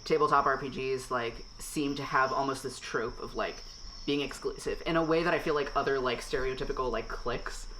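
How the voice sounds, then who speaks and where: A woman's voice: extremely low pitch, steady tone, a little fast, fairly bright tone, slight background noise, low-quality recording. A woman hosting a casual podcast chat, talking reflectively with many fillers.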